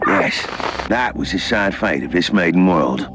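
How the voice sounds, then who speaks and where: An actor playing a sly, smooth-talking inquisitor ally in a sci-fi video game, wry and confiding in manner. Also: male voice, sits low, expressive, unhurried, background noise. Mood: cautioning. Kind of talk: dramatic video game dialogue.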